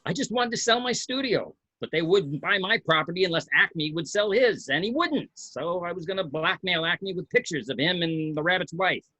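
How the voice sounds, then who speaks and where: A man's voice: high voice, expressive, normal speed, hardly any pauses, pushed and bright, no room sound, full character voice, poor audio. A man playing a nervous cartoon studio boss who pleads urgently in an amateur script read. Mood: desperate pleading.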